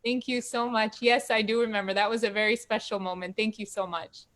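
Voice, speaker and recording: A woman guest musician speaking humbly on a video call, soft-spoken with halting restarts. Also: medium pitch, fairly even intonation. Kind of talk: casual thanks on a video call.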